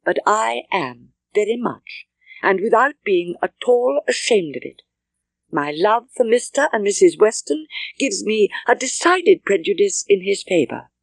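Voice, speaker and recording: A woman voicing a spirited, stubborn young Regency gentlewoman in an audiobook, with genteel, emphatic British Received Pronunciation. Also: medium pitch, lively intonation, measured pace, gravelly, dry recording, voice pushed up. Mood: defiant. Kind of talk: audiobook character dialogue.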